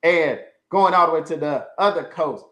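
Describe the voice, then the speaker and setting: A man's voice: on the high side, moderate pace, a bit bright. Hyped male show host, roomy sound. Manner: hyped, casual slang. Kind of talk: energetic show hosting. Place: home room. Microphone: video call. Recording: roomy video call audio.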